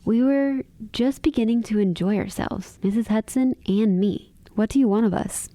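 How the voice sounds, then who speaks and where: A woman's voice: a little high, moderate pace, mellow, no room sound, natural voice. A woman playing a kind, sensible young sweetheart with a wounded heart in a radio drama. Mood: hurt, yearning. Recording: clear background noise.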